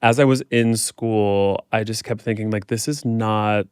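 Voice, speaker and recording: Guest performer, man, smooth crisp voice, relaxed personal anecdotes with self-mocking asides, very dry recording. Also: fairly low pitch, measured pace, no room sound. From American Midwest. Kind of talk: casual chat, personal storytelling.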